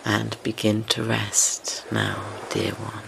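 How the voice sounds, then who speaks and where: A man leading a guided meditation in a gentle, soothing, tender manner, unhurried and with long pauses between phrases. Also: fairly low pitch, gravelly. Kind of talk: slow guided meditation, yoga nidra. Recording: steady ocean wave noise behind voice. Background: ocean wave sounds.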